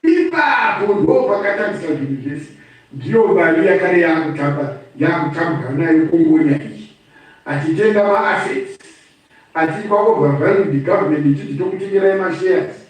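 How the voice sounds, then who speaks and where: A man's voice: fairly high pitch, animated, moderate pace. A man with a Zimbabwean accent giving an impromptu political address, speaking emphatically like a storyteller and repeating phrases for effect. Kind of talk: impromptu political address, mixing two languages. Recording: very echoey room, distant microphone.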